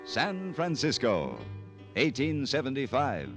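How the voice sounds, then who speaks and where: A man's voice: medium pitch, huge pitch swings, takes it slowly, music in the background. A man with an American accent reading scripted copy as a 1950s radio announcer.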